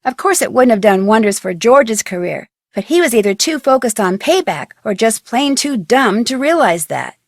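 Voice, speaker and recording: A woman's voice: fairly high pitch, very animated, normal speed, hardly any pauses, no room sound, studio quality. An actress playing an upbeat young amateur detective, chatty and wry, narrating her case in a mystery game. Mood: wry, satisfied. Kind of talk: scripted first-person narration for a mystery game.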